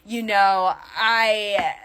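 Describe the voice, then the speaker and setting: A woman's voice: mid-range voice, steady tone, extremely slow, a bit bright, smooth. A woman tarot reader talking casually and candidly to her viewers through an intuitive reading, with emphatic asides.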